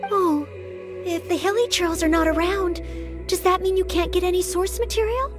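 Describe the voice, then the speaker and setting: A woman's voice: extremely high pitch, normal speed. An actress voicing an earnest young maid-knight girl in a video game, polite, dutiful and eager to help. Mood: polite, puzzled. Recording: music under the voice.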